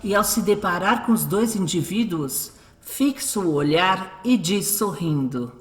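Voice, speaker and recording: A Brazilian woman reads scripted radio drama narration. Her voice sits fairly low, with a roomy echo and faint music behind it. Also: animated, a little slow.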